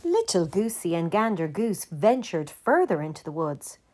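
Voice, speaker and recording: A woman narrating a children's picture book aloud in a gentle, deliberate manner. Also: lowish voice, very animated.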